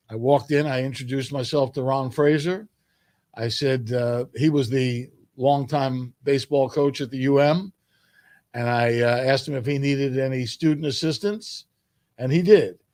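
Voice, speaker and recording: An older man from New York reminiscing as an interview guest, speaking in a measured way with emphatic phrasing. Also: mid-range voice, a little mellow, dry recording. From New York, United States. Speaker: guest, sports public address announcer.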